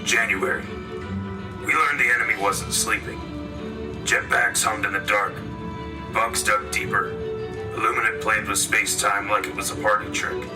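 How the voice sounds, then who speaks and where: Man acting a low, weary battlefront radio correspondent. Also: steady tone, moderate pace, long pauses, distant mic, music in the background, natural voice, rough recording. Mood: grim. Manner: clipped short phrases, grave and solemn. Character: weary, resolute male war correspondent at the battlefront. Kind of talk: terse scripted radio dispatch.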